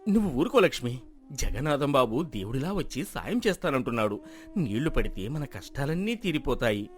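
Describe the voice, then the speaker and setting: A man's voice: mid-range voice, sing-song, a little fast, lightly acted. A man playing a stubborn, hopeful village farmer in an acted folk tale, speaking earnestly and pleadingly. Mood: stubborn, insistent. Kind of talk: dramatized folk moral story acting.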